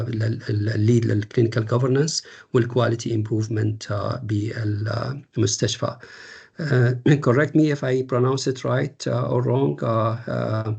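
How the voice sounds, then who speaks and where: Guy hosting a talk, raspy gravelly voice, lots of uhs, rushes then stalls. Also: mid-range voice, darkish tone, muffled recording. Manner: many drawn-out uh fillers, switches between Arabic and English. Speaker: host introducing a lecture. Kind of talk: hesitant bilingual introduction.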